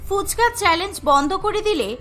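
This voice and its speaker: A woman's voice: extremely high pitch, lively intonation, normal speed, fairly bright tone. A woman narrating a scripted cartoon story as the storyteller. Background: music.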